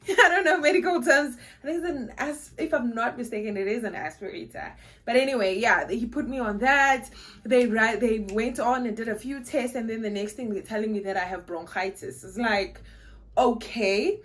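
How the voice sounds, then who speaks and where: A woman's voice: on the high side, fairly bright tone. A young South African woman, a native Xhosa speaker, chatting casually to camera in a vlog, repeating words and laughing easily. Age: young adult. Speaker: vlogger.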